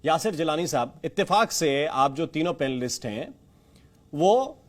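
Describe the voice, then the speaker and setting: Male news debate moderator, high register, pointed and insistent questioning. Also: expressive, normal speed. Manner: insistent, challenging. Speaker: TV debate anchor.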